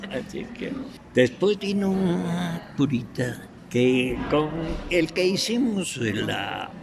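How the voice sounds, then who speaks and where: An older man with an Ecuadorian highland accent reminisces in an interview, halting and anecdotal. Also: sing-song, creaky. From Quito, Ecuador. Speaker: veteran radio theatre actor being interviewed. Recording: noisy room recording.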